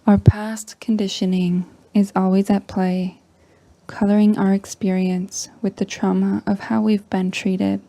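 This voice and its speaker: A woman leading a guided meditation in a soft, breathy, near-whispering voice, calm and gentle with long pauses. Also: mid-range voice, dry recording. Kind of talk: slow guided meditation narration.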